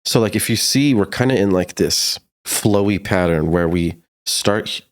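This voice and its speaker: Deep-voiced, laid-back man teaching. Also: moderate pace, heavy background noise. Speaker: music production tutor. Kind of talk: casual screen tutorial.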